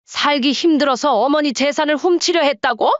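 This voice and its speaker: A woman's voice: high-pitched, fairly quick, no room sound, close to an everyday voice. A performer voicing an elderly mother who coldly confronts her grown children, in a story drama. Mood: reproachful. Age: older. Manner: cold, reproachful questioning. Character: wronged elderly mother, stern and hurt. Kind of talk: audio drama story reading. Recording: dull lo-fi dry sound.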